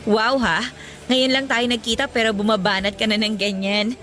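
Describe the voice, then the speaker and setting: A woman acting a teasing, feisty young student in a Tagalog radio love drama, speaking in a sassy, expressive way. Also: on the high side, normal speed, lightly acted. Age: young adult.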